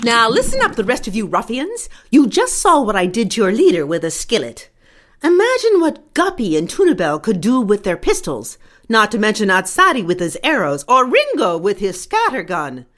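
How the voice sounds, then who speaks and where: Old auntie fish, polite but feisty, folksy, swooping pitch, cartoon Wild West drama. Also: female voice, wildly swooping pitch, moderate pace, fairly bright tone. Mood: firm, triumphant. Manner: folksy, prim, chuckling. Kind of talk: cartoonish western audio drama acting.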